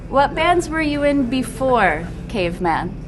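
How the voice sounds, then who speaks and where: A woman hosting a casual music interview, upbeat and asking quick questions. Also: sits high, sing-song. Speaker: host.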